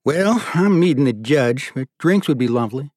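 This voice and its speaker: Man playing a courteous, sincere gentleman diner. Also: medium pitch, animated, normal speed, dry recording, clean studio sound, pitched above the performer's own voice, lightly acted. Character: courteous, sincere male regular customer, gently playful.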